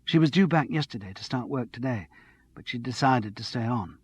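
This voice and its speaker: A man reading an audiobook, voicing a young woman who fusses kindly over her flatmate. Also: mid-range voice, fairly quick, husky. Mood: concerned. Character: caring young woman, gently anxious.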